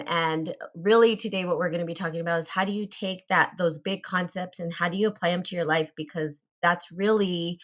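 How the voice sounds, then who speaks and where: A woman's voice: lowish voice, sharp tone. A woman hosting a casual live talk show, chatty and full of fillers. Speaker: host.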